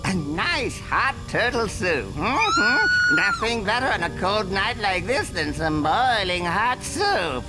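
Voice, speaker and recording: An actor voicing a manic, sadistic mad scientist and dentist, taunting and theatrical, in a cartoonish video game. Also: male voice, sits high, huge pitch swings, slow, few pauses, exaggerated voice, rough recording. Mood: gleeful taunting. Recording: loud game music behind.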